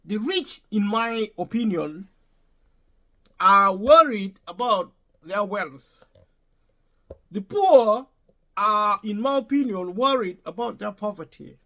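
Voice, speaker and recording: A man's voice: high voice, lively intonation, slow, pauses often, bright, forceful tone. A man vlogging an unscripted opinion monologue to his viewers, emphatic and prone to restating and rephrasing his points. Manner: emphatic, addresses viewers directly.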